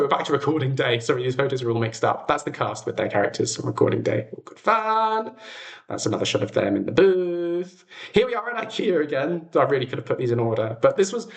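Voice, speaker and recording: A young British man talks casually to his livestream viewers. His pitch swings widely as he goes, giving an enthusiastic, whimsical feel to the chat. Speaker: livestream host.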